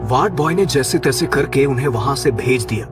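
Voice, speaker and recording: A man's voice: mid-range voice, expressive, fairly quick. A man reading a scripted horror story as narrator, with music behind him. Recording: music under the voice. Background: background music.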